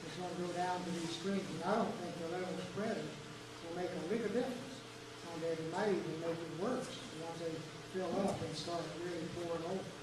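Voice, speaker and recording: A man in the audience at a public meeting, asking a long, rambling question with many pauses and fillers. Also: on the high side, fairly even intonation. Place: large echoey meeting hall. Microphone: far from the microphone. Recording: echoey hall, distant mic, noisy.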